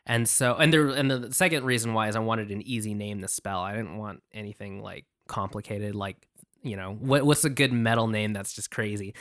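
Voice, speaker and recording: A man who says he has a high-pitched voice, chatting casually and self-deprecatingly as a guest on a podcast about his band. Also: a little fast, a bit bright.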